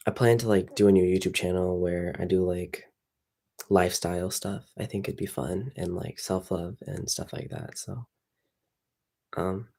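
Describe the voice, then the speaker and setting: Low gravelly young man, tired and rambling. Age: young adult. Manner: relaxed, rambling, many fillers. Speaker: streamer. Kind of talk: casual livestream chat.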